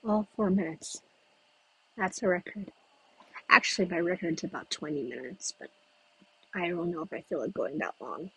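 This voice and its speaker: A solo podcaster talks outdoors, where the breeze is noticeable and people pass by. The voice is very husky and breathy, sitting at a middle pitch. The talk wanders loosely from one thought to the next, with pauses to think. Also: poor audio. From United States. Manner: chatty, meandering, thinks aloud with pauses. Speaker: podcast host. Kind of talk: rambling solo podcast chat. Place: outdoors in a breezy spot with passers-by.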